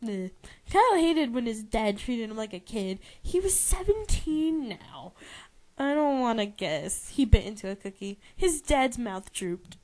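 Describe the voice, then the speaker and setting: A young woman narrates a novel aloud in an informal, amateur way. Her voice is high, and she is giggly, often stumbling and correcting herself. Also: big pitch swings, unhurried, darkish tone. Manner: giggly, stumbles over words and self-corrects. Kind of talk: informal dramatic reading of a novel.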